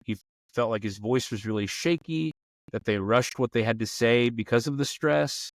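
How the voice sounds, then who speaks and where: A man's voice: medium pitch, moderate pace, no echo, clean studio sound. A man hosting a tabletop role-playing show, talking through advice in a conversational style.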